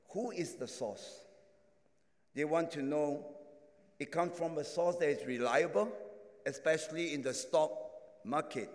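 A man preaching a sermon and sharing his testimony, in non-native English phrasing with halting restarts. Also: fairly high pitch, darkish tone. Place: large echoing hall. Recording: echoing hall.

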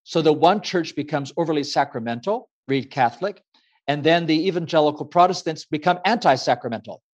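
A man who grew up in Ecuador, speaking as an author and guest in a measured, thoughtful way with many fillers. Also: on the high side, expressive, lo-fi sound. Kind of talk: reflective interview answer.

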